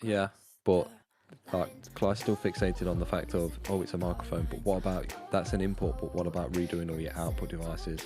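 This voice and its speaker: A man's voice: deep voice, steady tone, normal speed, music in the background. A British man bantering casually with friends during a game stream, teasing and argumentative. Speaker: gamer friend on a voice call.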